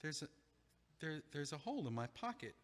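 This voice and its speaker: A man's voice: a little high, big pitch swings, brisk, in a large hall. A man playing a rueful, dumped guy. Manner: dry, wry, hesitant delivery. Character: recently dumped man, rueful and self-mocking. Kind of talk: audio play acting.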